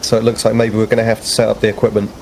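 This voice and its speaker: A man's voice: on the low side, completely flat delivery, racing, a little mellow. A man speaking casually as a player in a tabletop roleplaying game. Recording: clear background noise.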